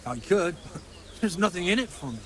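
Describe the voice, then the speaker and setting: A man's voice: high-pitched, big pitch swings, moderate pace, fairly bright tone. A man acting a sly, miserly medieval innkeeper in a video game, smug and wheedling. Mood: smug, amused.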